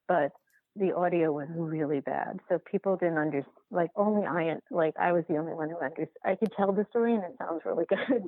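Low-voiced woman on a tinny phone line. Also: vocal fry, dry recording. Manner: halting, repetitive, self-restarting storytelling. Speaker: podcast guest, documentary filmmaker. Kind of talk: casual interview talk.

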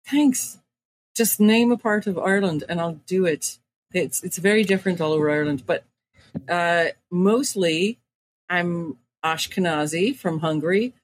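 A woman's voice: fairly low pitch, measured pace, a little hiss or hum. A woman co-hosting a podcast, chatting casually and rambling with lots of "like.". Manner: rambling, heavy use of "like". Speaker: podcast co-host. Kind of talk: casual chat.

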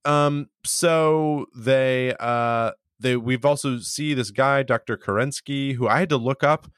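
A man's voice: mid-range voice, takes it slowly, a bit bright, clear, resonant tone, no echo, studio quality. A man hosting a podcast, recapping an episode in a rambling, chuckling way. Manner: rambling, chuckling, filler-heavy. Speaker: podcast host.

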